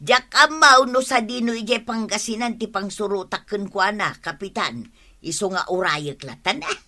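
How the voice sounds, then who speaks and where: A woman's voice: medium pitch, normal speed, bright, forceful tone, lightly acted. An actress with an Ilocano Filipino accent playing a whiny, lovesick, pitiful village woman in a radio comedy. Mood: wistful. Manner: plaintive, wailing. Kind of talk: comedy radio drama acting.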